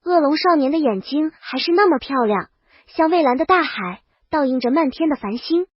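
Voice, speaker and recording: A woman's voice: high-pitched, lively intonation, normal speed, dry recording, lo-fi sound. A woman reading a scripted first-person comic story as a princess, with dramatic, wry delivery. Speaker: narrator.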